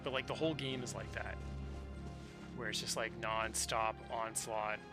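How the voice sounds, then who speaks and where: A man's voice: on the low side, fairly even intonation, moderate pace. A man chatting casually in a slangy, rambling way as he streams a video game. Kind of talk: casual chat while playing. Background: game music.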